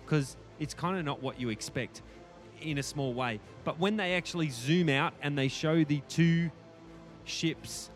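A man's voice: a little high, unhurried, soft background music. An Australian man speaking casually as a podcast guest, hedging and repeating words for emphasis. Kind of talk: casual chat.

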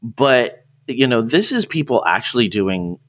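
A man's voice: medium pitch, very animated, measured pace. A man chatting informally and enthusiastically on a podcast. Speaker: podcast speaker with theater background. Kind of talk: casual podcast chat.

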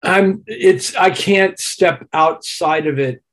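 A man with a husky voice talking thoughtfully and articulately as a musician being interviewed. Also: sits high, slow, a bit bright. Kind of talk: reflective conversational interview.

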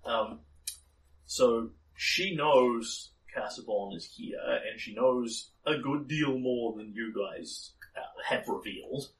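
A man's voice: medium pitch, slow pace, a bit bright, recorded from a distance, a little hiss or hum, rough recording. A man running a tabletop game as game master, explaining a character at length with many ums and restarts. His voice is slightly worn.

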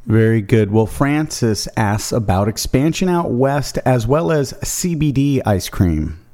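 A man's voice: mid-range voice, expressive, a little slow, mellow. A man moderating an investor conference call, reading out questions from shareholders.

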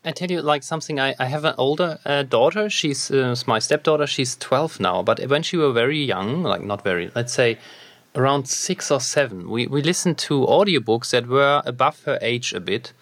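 A man's voice: medium pitch, expressive, moderate pace, fairly bright tone, dry recording. A man hosting an interview, talking casually and hesitantly with many fillers and restarts. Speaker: podcast host.

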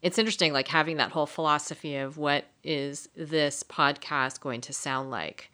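A woman's voice: deep voice, fairly even intonation, normal speed, bright, forceful tone. A woman co-hosting a podcast, chatting casually in a reflective, interested way. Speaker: podcast co-host. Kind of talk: casual conversational podcast talk.

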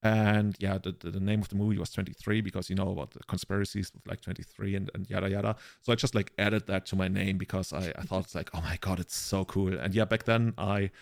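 Podcast co-host: German-accented man, deeper register, very dry recording, loose conversational anecdotes. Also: steady tone, fast, vocal fry, hardly any pauses, dry recording. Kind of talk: casual chat, rambling anecdotes.

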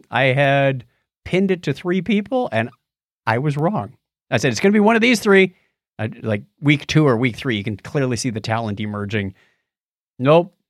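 A man's voice: mid-range voice, creaky, no echo, clean studio sound. A man co-hosting a podcast, chatting casually about shows and retelling things in a conversational way with quoted asides. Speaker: podcast co-host. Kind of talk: casual chat reviewing TV shows.

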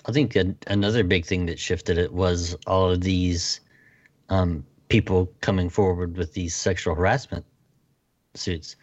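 Low-voiced, earnest male guest, muffled. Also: fairly even intonation, measured pace, a little mellow, no room sound. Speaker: podcast guest. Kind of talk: casual chat.

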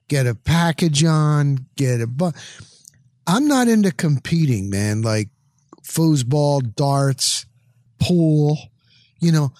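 A man's voice: on the high side, darkish tone, no room sound, studio quality. A middle-aged man in casual sports chat, rambling along and repeating words for emphasis. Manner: chatty, rambling, emphatic repetition. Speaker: sports broadcaster.

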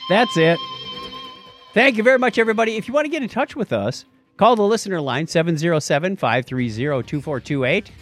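A man's voice: high-pitched, expressive, a little fast, a bit bright, soft background music. A man hosting a podcast in a casual, playful and enthusiastic chatting style. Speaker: podcast host.

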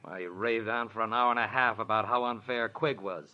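A man's voice: lowish voice, steady tone, a little fast, natural voice. An actor playing an urbane, self-mocking rich man's son in a glib, theatrical 1940s radio drama manner. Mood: urgent. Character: urbane, self-mocking wastrel heir, a man. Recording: muffled old radio recording.

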